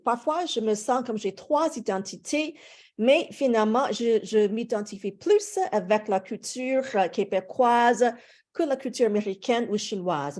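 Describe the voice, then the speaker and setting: A woman's voice: mid-range voice, darkish tone. A woman from the United States, a French professor, lecturing to a class with many fillers and restarts.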